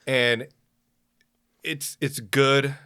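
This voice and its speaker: A man's voice: medium pitch, steady tone, drawn-out words, pushed and bright, clean tone. A man hosting a podcast in casual chat, loose and profane, laughing often. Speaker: podcast host.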